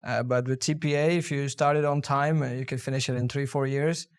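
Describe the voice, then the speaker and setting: A man's voice: mid-range voice, steady tone, fairly quick, smooth. A man, a guest in a podcast interview, explaining earnestly with many fillers and restarts. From India. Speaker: guest, accountant.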